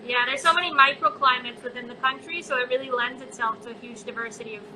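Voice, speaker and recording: A woman's voice: fairly high pitch, steady tone, fast talker, background noise. A woman who grew up in Colombia, a chocolate maker chatting in an online interview, talking casually with many fillers. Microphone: phone on a live video chat.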